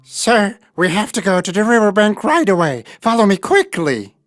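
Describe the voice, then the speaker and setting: A woman's voice: medium pitch, very animated, moderate pace, over music, natural voice. A woman voicing a clever, confident male cat in a children's fairy tale cartoon, speaking persuasively and with courtly manners. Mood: urgent, bossy. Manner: persuasive, deferential to royalty.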